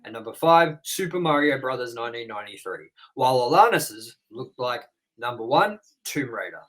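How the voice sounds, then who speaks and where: A man's voice: mid-range voice, sing-song, normal speed, fairly bright tone, rough recording. A man co-hosting a movie review show, reading trivia and goofs from his notes in a casual way and swearing offhandedly.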